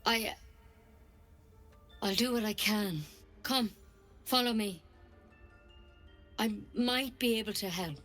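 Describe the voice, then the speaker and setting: A woman's voice: on the high side, slow, pauses often, a bit bright, soft background music. A woman playing a caring but guarded druid healer in a video game, with a practical, matter-of-fact way of talking. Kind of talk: naturalistic video game acting.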